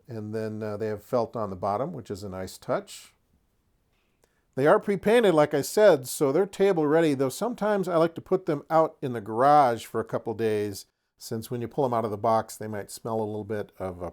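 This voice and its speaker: A man's voice: medium pitch, darkish tone. A middle-aged man hosting a hobby channel in a casual, folksy way with wry asides. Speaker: host of a tabletop wargaming channel. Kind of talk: casual product showcase to camera.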